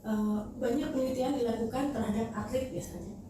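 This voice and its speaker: A woman's voice: a little high, steady tone, brisk. A woman explaining things in a casual podcast talk, hesitantly and with English terms mixed in. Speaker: talent management manager, podcast guest. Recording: very echoey room, distant mic, noisy.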